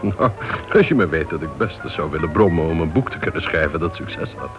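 An actor playing a chatty, genial club member in a Dutch radio play. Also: male voice, extremely low pitch, lively intonation, brisk, music in the background. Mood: genial, teasing. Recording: dull old radio recording.

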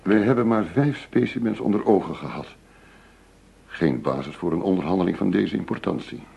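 An actor playing a shrewd, businesslike undercover negotiator posing as a money dealer in a radio drama. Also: male voice, low-pitched, expressive, creaky, dark tone, breathy edge, heavily acted. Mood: businesslike, guarded. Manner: measured, businesslike, formal.

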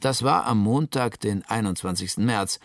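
A musician played by a man recounts events in a radio play in a matter-of-fact way. His voice sits a little low and is recorded very dry. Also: moderate pace, fairly bright tone, dry recording. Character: band musician matter-of-factly recounting his return to Tokyo. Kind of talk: scripted first-person narration in a radio documentary play.

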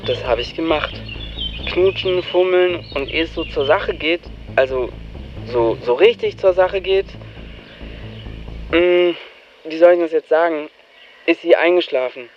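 An actor playing a wry young slacker who narrates in the first person, colloquial and confessional with hesitant asides. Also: male voice, fairly high pitch, unhurried, long pauses, lo-fi sound, slight background noise, close to an everyday voice. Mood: sheepish, confessional. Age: young adult.

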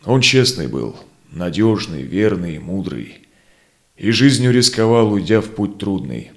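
A man acting a seasoned, honorable Cossack explorer in a verse audio drama, with solemn, declamatory, measured delivery. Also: lowish voice, sing-song. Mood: solemn, proud. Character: seasoned Cossack ataman explorer, honorable, sorrowful, longing for home.

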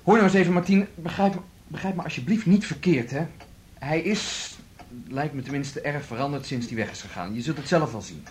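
Casting: actor as insistent male go-between, lively pitch swings, coaxing and hesitant, slightly noisy radio play recording. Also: fairly quick, close to an everyday voice. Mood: earnest, pressing. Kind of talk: radio drama acting.

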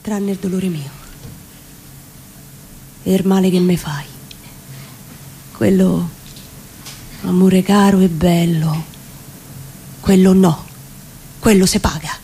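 A woman's voice: fairly low pitch, steady tone, dark tone, rough recording. Roman woman reciting a theatrical monologue. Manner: deliberate, listing phrase by phrase. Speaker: reciting performer. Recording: faint ambient background noise.

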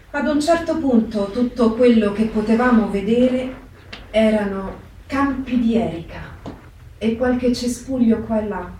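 An actress playing a somber female witness, giving testimony as a grave, deliberate dramatic reading. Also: fairly high pitch, a little mellow. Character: somber female witness recalling a bleak journey. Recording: echoey, distant, faint background noise.

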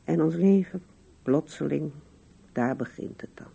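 An actress playing an old widow in a radio play, musing wistfully to herself and to absent loved ones. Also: female voice, low voice, unhurried, gravelly, dark tone, husky, exaggerated voice. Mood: reflective, amused. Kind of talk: radio drama monologue.

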